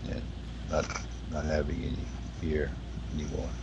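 A man with a Hawaiian accent talking in a spiritual conversation, slow and halting as he searches for words. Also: very deep voice, monotone, lo-fi sound, heavy background noise. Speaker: guest.

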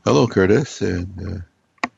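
Man, hoarse froggy voice, rasping husky tone, rambling talk full of fillers, dull lo-fi sound. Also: low voice, measured pace, darkish tone, dry recording. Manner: rambling, many ums and uhs. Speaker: regular guest. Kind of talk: casual conversation.

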